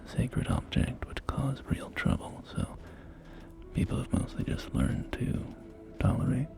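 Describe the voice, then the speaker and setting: A man playing a folksy post-apocalyptic real estate agent in a whispered ASMR roleplay, with gentle, hesitant, reassuring sales patter. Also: mid-range voice, sing-song, measured pace, gravelly, full character voice. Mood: calmly reassuring. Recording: close whisper over soft music.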